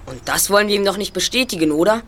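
A woman's voice: mid-range voice, expressive, fast, a bit bright. A woman playing a bossy, self-assured teenage boy detective leader who speaks in precise, formal wording, in a German audio drama. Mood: persuasive.

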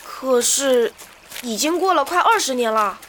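A woman's voice: high voice, animated, measured pace, a bit bright, slight background noise. An actress playing an anxious, loyal young female disciple in a costume fantasy drama, pleading and fretful. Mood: worried pleading.

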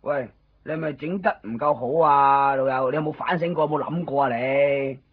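A man's voice: mid-range voice, normal speed, breathy edge, lightly acted. A man with a Hong Kong Cantonese accent playing a nervous, apologetic, evasive friend in a radio drama. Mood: chiding. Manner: hesitant, stammering, pleading. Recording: dull, lo-fi 1990s radio recording with music.

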